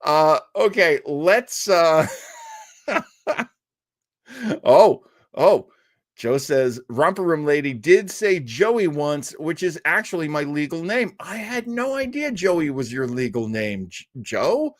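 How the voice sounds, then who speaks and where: A man's voice: a little high, big pitch swings, slow, dry recording, clean studio sound. A middle-aged man hosting a live show, chatting casually and playfully with his viewers in a jokey, easygoing way. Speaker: host of a live old-time radio listening show.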